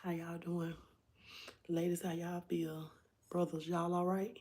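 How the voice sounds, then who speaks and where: A hoarse woman hosting a casual livestream from home, chatting directly to her viewers. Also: fairly low pitch, little pitch movement, a little slow, a little mellow. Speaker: livestream host.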